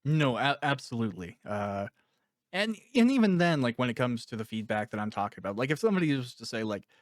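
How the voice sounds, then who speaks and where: A man's voice: medium pitch, sing-song, fast talker, no room sound. Male interviewer, mid-range voice, dry recording. Loose, rambling, self-deprecating, blunt conversational delivery. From Canada. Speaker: interviewer and author. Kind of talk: casual interview chat.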